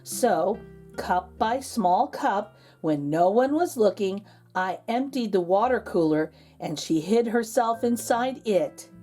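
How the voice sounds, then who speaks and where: A woman narrating a children's storybook aloud as a read-aloud host, expressive and drawing out key words. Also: medium pitch, slow, faint music behind.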